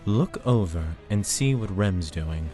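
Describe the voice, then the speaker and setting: Actor as terse, calculating soldier, lowish voice with lively movement, sci-fi drama. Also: male voice, slow, faint music behind.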